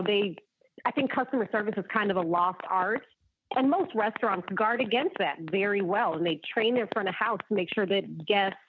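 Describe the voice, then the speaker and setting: A female chef speaking as a podcast guest, easygoing and frank, quick to laugh. Her voice is a little husky. It comes through thin and band-limited, like a phone line. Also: mid-range voice. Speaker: chef, show finalist guest. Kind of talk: casual podcast interview.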